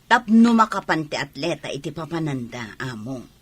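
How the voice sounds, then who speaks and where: A woman's voice: sits low, sing-song, moderate pace, husky, full character voice. A woman playing a sceptical, defensive middle-aged mother of a young athlete in a radio drama, with an Ilocano accent. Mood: earnest.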